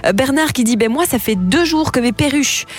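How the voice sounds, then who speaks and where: A woman's voice: sits high, lively intonation, fairly quick, breathy edge. A woman, co-hosting a morning radio show in chatty, playful banter.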